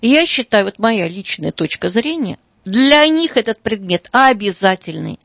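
A woman's voice: mid-range voice, sing-song, measured pace, gravelly, fairly bright tone, no room sound. A middle-aged woman, a school director, speaking as a guest on a radio talk show, earnest and hesitant with many fillers.

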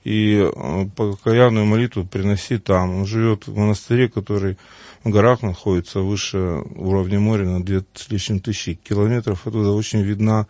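A man's voice: lowish voice, steady tone, a little fast, a little mellow, no room sound. A man from Uglich, an Orthodox priest, telling earnest, rambling anecdotes in conversation. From Uglich, Russia. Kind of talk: conversational storytelling.

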